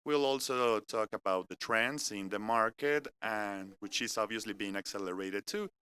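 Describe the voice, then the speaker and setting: A man from France with a French accent, speaking in English as he gives a measured, earnest business presentation that moves point by point through an agenda. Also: fairly low pitch, pushed and bright, clear, resonant tone. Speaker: corporate keynote speaker. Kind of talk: live-streamed business presentation. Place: company innovation center studio.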